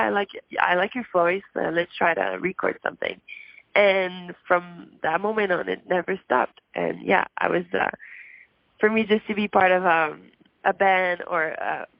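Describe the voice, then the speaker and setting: A woman with a hoarse voice from a throat issue answers interview questions in a shy, halting way, with many pauses and little laughs. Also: fairly low pitch, no room sound, muffled recording. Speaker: musician guest.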